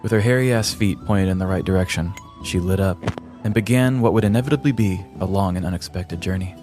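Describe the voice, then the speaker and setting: A man narrating a scripted comedic fantasy story in a theatrical, measured, mock-epic style. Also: sits low. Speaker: podcast host and storyteller. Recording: prominent music behind voice.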